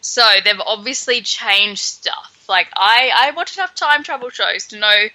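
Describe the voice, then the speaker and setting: A woman co-hosting a podcast, chatting casually through a book with animated, sardonic asides. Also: fairly high pitch, measured pace, no echo, poor audio. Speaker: podcast co-host. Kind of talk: casual book read-along chat.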